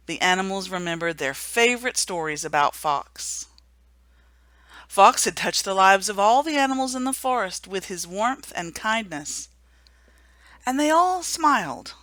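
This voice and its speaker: A woman reading a children's storybook aloud for a remembrance service, gentle and hushed at the sad moments. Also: medium pitch, dry recording. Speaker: story reader.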